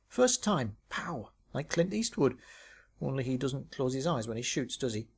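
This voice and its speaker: A man's voice: medium pitch, big pitch swings, normal speed, vocal fry, slight background noise. A man voicing a chatty, naive young woman with a colloquial, exclamatory way of talking. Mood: chatty, excited. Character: chatty, giggly young woman paintball player, naive and blunt.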